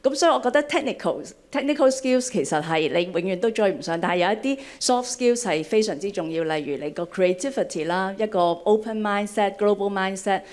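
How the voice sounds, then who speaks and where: A woman's voice: mid-range voice, expressive, fairly quick, hardly any pauses, a little mellow. A middle-aged woman from Hong Kong speaking on a panel, switching between Cantonese and English with frequent hesitations. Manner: hesitant, many ums. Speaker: panelist, fund head.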